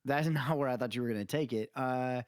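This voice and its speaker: A man's voice: mid-range voice, brisk, clean tone, no echo, clean studio sound. A man co-hosting a podcast, talking casually and opinionatedly about music in a rambling, storytelling way. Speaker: podcast co-host.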